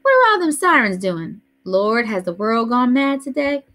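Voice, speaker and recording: A fussy old grandmother in an audiobook, scolding and fretting in a slightly raised voice, as a woman narrator puts her on. She sounds stern but tender, pitched a bit higher than the reader's own voice. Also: sing-song, moderate pace, a bit bright. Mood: fussing. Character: fussing older grandmother, Southern and stern.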